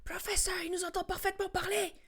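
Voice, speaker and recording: A woman's voice: extremely high pitch, level tone, fast, a bit bright. A young adult woman giving casual, slangy commentary on a game stream, with a playful, teasing manner.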